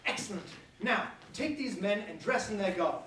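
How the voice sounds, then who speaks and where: A man's voice: sits high, lively intonation, normal speed, a bit bright. A man playing a grandiose, lovestruck young prince in a staged verse comedy, with formal theatrical declamation. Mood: commanding, excited. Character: pompous young prince, grandiose, softened by love. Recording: very echoey room, distant mic, faint noise.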